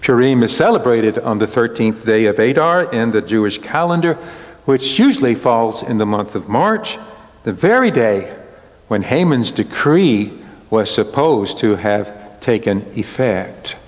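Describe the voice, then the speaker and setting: A man in his sixties preaches from a church pulpit in a middle-pitched voice. His storytelling sermon moves slowly in deliberate phrases, with long reverb from the hall. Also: lively intonation. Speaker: pastor.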